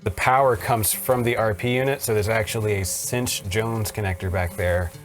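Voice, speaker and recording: A man's voice: fairly low pitch, steady tone, moderate pace, over loud music. A man casually presenting and explaining vintage machines in an easygoing conversation.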